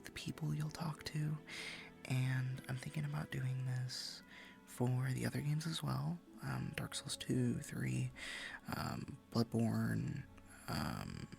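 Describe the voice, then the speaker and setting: A man speaking softly and informally to his listeners as an ASMR creator, a little hesitant. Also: medium pitch, creaky. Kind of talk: soft-spoken casual outro to listeners.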